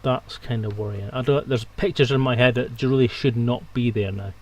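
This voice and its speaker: A man with a mid-pitched voice hosts a livestream. He talks in a casual, conversational way, addressing both his co-guests and the viewers. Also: moderate pace. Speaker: stream host. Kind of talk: casual stream chat.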